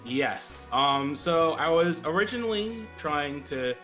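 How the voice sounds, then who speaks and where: A man with an American accent, a guest game master explaining settings casually, relaxed and chuckly. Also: fairly high pitch, takes it slowly, soft background music. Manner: relaxed, chuckling, hesitant with fillers.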